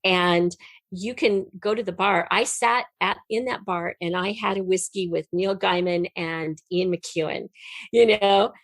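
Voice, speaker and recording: A woman's voice: mid-range voice, steady tone, unhurried, no echo. A woman telling stories conversationally as an interview guest, with an effusive, enthusiastic manner. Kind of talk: animated conversational storytelling.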